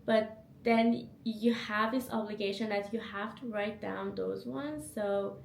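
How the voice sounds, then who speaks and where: A woman's voice: mid-range voice, fairly even intonation, measured pace, gravelly, echoey room, a little hiss or hum. A young woman with English as a second language, vlogging casually about her day from her apartment. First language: not English. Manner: chatty, upbeat, self-reflective. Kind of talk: casual vlog narration. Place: home apartment.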